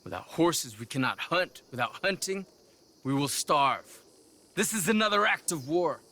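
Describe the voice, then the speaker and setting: An actor playing a proud, aggrieved young warrior in a video game, speaking in formal, earnest declarations. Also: male voice, fairly high pitch, expressive, a little slow, sharp tone, heavily acted. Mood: indignant. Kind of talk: video game cinematic acting.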